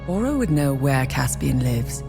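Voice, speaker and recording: Game sidekick doing briefings, low voice, no-nonsense, music behind. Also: female voice, very animated, unhurried, vocal fry, exaggerated voice. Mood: businesslike. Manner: matter-of-fact, informative. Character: businesslike, sharp-witted female ally. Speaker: video game ally giving briefings. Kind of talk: video game cutscene acting.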